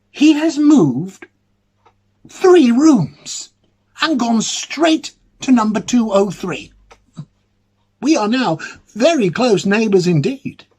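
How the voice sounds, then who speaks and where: A man playing an ailing, lonely, fussy bachelor academic in a dramatic ghost-story monologue, musing hesitantly and theatrically in a husky voice. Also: sits high, a little mellow, full character voice. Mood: excited wonder. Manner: hesitant, musing, theatrical, with murmured asides and swelling emphasis.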